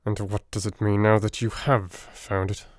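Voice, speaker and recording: A man's voice: fairly low pitch, little pitch movement, normal speed, no room sound, clean studio sound, lightly acted. A man voicing a stiff, proud police detective in a dramatised audiobook, speaking in a curt, defensive and disparaging way. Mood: dismissive. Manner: curt, offended, depreciatory. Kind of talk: dramatic audiobook character acting.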